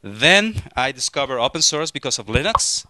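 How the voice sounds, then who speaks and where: A man in his thirties from Mexico with a Mexican Spanish accent, giving a lively, joking technical talk to a conference audience through a handheld microphone. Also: medium pitch, moderate pace, no echo. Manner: lively, joking, frequent restarts. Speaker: conference speaker.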